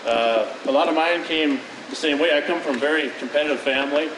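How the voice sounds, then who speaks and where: A man's voice: on the high side, brisk. A man speaking to a conference audience in a hesitant way, with many fillers and restarts. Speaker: guest speaker. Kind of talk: casual talk to an audience. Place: conference hall. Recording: echoey room, distant mic, noisy.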